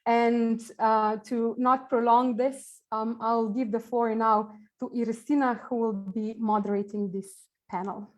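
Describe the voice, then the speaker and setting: A woman's voice: a little high, little pitch movement, unhurried, highs cut off. A woman in her thirties with a Slovenian accent, introducing panelists on a video call with frequent hesitations. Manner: hesitant, many ums, searches for words. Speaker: event organizer introducing panelists. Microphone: earphone headset mic on a video call.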